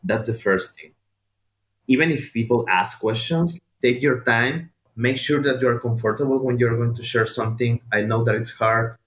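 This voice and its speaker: A man's voice: medium pitch, normal speed, fairly bright tone. A man with a Mexican accent, a native Spanish speaker, giving personal testimony in an earnest, direct way with emphatic repetition. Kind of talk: personal testimony and encouragement, addressed to listeners. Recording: roomy, echoing sound.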